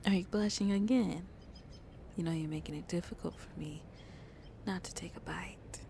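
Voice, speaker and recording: A woman playing a shy but playfully flirty vampire on a date in an ASMR roleplay, speaking softly and intimately and often slipping into breathy whispers. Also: fairly low pitch, normal speed, slight background noise. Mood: romantic, teasing.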